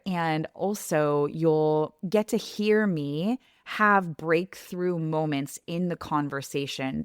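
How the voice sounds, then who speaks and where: A woman in her thirties with a deep, low speaking voice shares a heartfelt podcast monologue. She speaks earnestly and reflectively, straight to her listener. The sound is close and dry, with no room around it. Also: dry recording. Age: 30s. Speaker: podcast host and coach.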